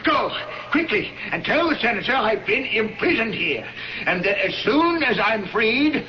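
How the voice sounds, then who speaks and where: An actor playing a greedy, cowardly tavern keeper, stammering and pleading breathlessly in a radio drama. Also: male voice, high voice, sing-song, unhurried. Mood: desperate. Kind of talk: 1970s radio drama acting. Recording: muffled, noisy old radio recording.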